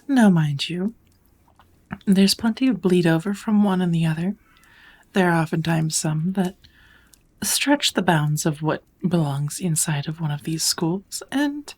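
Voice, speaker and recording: Soft, breathy, low-voiced witch played by a woman. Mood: patient, explanatory. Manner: gentle, measured, encouraging, often slipping into whispers. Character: kind, patient witch mentoring a dear apprentice. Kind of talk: ASMR roleplay, second-person scripted teaching.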